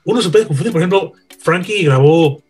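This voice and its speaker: A man's voice: high-pitched, animated, fairly quick, a little mellow. A man with a Mexican accent, a veteran radio announcer, telling anecdotes in an earnest, teaching way. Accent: Mexican Spanish. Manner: storytelling, earnest and didactic. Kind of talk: anecdotal conversation.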